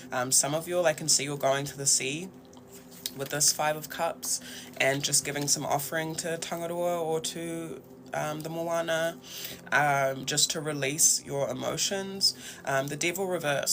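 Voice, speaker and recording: A man with a New Zealand accent giving a casual, conversational tarot reading in a reflective, halting way. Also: on the high side, creaky, sharp tone. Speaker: tarot reader and host.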